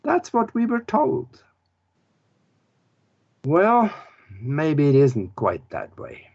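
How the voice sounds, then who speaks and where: A man from Norway. He explains spiritual ideas in an earnest, halting way, pausing often as he searches for words. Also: fairly high pitch, huge pitch swings, gravelly, darkish tone. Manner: unhurried, earnest, halting with pauses. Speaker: author and spiritual teacher. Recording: dull lo-fi recording.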